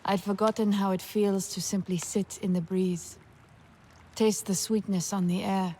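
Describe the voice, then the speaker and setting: A woman's voice: medium pitch, level tone, measured pace, mellow, faint music behind, close to an everyday voice. An actress playing a reflective young alien woman in a video game, wistful and questioning. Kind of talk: video game cutscene acting.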